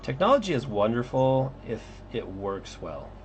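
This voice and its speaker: A man's voice: medium pitch, dull audio, slight background noise. A man hosting a casual livestream while drawing comics, chatting with co-hosts who can't be heard. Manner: relaxed, talks to unheard co-hosts, halting.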